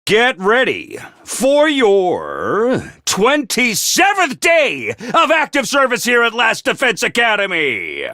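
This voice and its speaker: A man voicing a small, bossy male robot commander in a video game, theatrical and self-important, prone to shouting. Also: huge pitch swings, takes it slowly, no room sound, studio quality, exaggerated voice. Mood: announcing. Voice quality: squeaky, over-the-top. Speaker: voice actor. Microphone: dry studio mic.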